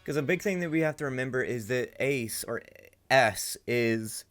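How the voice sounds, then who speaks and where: A man's voice: medium pitch, animated, normal speed, fairly bright tone, faint music behind. A man hosting a reaction video, musing aloud in casual commentary and often repeating himself.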